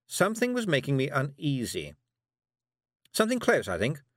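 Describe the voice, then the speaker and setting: A man's voice: a little high, wildly swooping pitch, normal speed, dry recording, clean studio sound, voice pushed up. A man voicing a fussy, nervous woman witch in an audiobook, talking in a prim, clipped way. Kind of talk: audiobook character voice.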